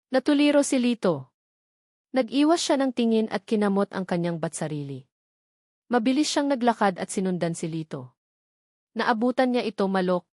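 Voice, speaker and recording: A woman's voice: medium pitch, darkish tone, dry recording. Filipino woman narrating, dry close sound. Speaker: story narrator. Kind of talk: scripted narration of a romance story.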